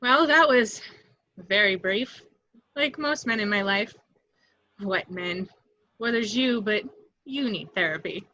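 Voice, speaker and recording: A woman's voice: medium pitch, expressive, slow pace, pauses often, sharp tone. A woman playing a lonely, self-mocking young secretary with sardonic delivery in an amateur read. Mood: wry. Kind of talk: amateur table read acting. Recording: echoey, muffled home microphone.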